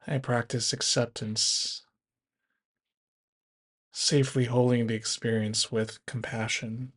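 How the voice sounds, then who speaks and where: Slow, even-toned male meditation guide. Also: medium pitch, husky. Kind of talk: guided body scan meditation narration.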